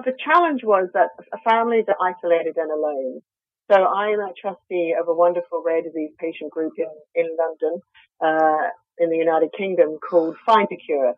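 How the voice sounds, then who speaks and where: A woman's voice: deep voice, no echo. An Australian woman, a company founder, being interviewed and talking with many hesitant fillers. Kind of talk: interview over the phone. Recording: tinny phone line, dry.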